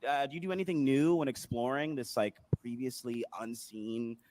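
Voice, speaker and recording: A man's voice: medium pitch, normal speed, a little mellow, a little hiss or hum. A man hosting a panel interview in an enthusiastic, conversational presenting style.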